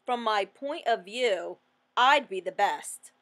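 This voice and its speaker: A woman's voice: mid-range voice, animated, slow pace, fairly bright tone. A woman voicing a jealous, boastful little hen in a storybook, with indignant, emphatic delivery. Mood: boastful, scornful.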